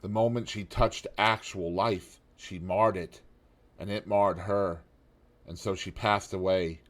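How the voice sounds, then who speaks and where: Brief: man reading a languid, cynical aristocrat for a storybook, drawling and amused, lowish voice. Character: witty cynical aristocratic gentleman, languid and worldly. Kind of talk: audiobook character reading.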